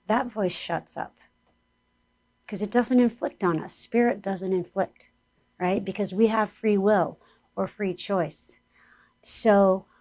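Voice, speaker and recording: A woman spiritual teacher speaks at an unhurried, deliberate pace, her voice a little hoarse. She guides an earnest, soothing meditation exercise, with a pitch that sits in the middle of the usual range for a woman. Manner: slow, gentle and soothing guidance.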